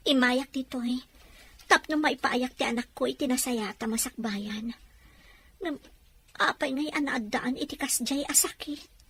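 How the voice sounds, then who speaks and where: Worried ilocano mom in a radio drama, high sharp voice, pleading and emotional. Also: female voice, a little slow, long pauses, a little hiss or hum. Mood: sorrowful. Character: worried, pleading mother working away from home.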